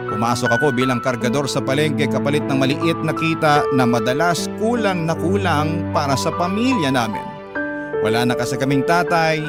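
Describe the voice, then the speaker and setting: A Filipino man tells a dramatic personal story as a radio host. His voice sits a little higher than most men's, and loud music runs underneath. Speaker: radio show host narrating a letter sender's story.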